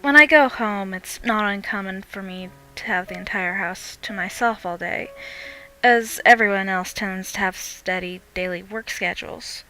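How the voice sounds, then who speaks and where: Young woman, mid-pitched voice, dramatic scripted storytelling, horror narration, music underneath. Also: normal speed, sharp tone, poor audio.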